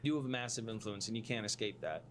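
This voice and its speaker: A man's voice: on the low side, fast, fairly bright tone. A man giving informal opinion commentary in a critical, earnest manner, heard from a played video with slight background noise.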